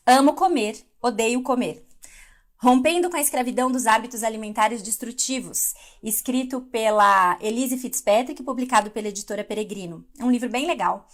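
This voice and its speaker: A middle-aged woman from Brazil giving a conversational live devotional talk, reacting to viewer comments as she goes. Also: on the high side. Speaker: devotional speaker.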